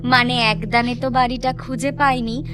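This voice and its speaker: A woman's voice: on the high side, steady tone, moderate pace, natural voice. A woman playing a polite, curious, uneasy house-hunter in a Bengali audio drama, questioning hesitantly, with stammers. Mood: hesitant, probing. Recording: loud background music.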